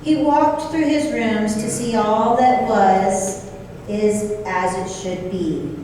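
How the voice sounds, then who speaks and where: A woman's voice: medium pitch, slow pace, dark tone. A woman narrator reading scripted story narration for an old-time radio play. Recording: distant echoey hall, noticeable hiss.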